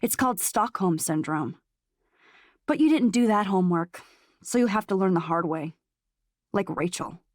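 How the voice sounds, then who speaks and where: An American woman voicing a scornful, taunting dark double of a teenage girl in a video game, sneering and sarcastic. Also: medium pitch, expressive, normal speed, pauses often, no room sound, clean studio sound, natural voice. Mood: mocking.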